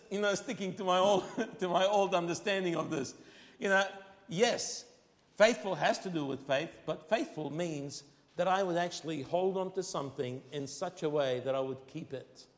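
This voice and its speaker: A man with an Arabic accent preaches in a fairly high voice, stretching and lifting his key phrases for emphasis. His first language is Arabic. The sound carries a long, ringing reverb, as if from a church hall. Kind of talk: sermon.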